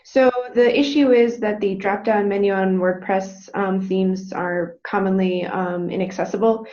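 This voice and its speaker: A woman moderating an informal webinar Q&A, relaying questions with hesitant pauses between phrases. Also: medium pitch, fairly even intonation, a little mellow. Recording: dull, band-limited webinar audio.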